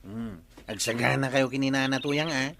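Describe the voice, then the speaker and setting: A man's voice: medium pitch, moderate pace, background noise, close to an everyday voice. A man with an Ilocano accent playing a joking, grumbling village man in a radio comedy drama.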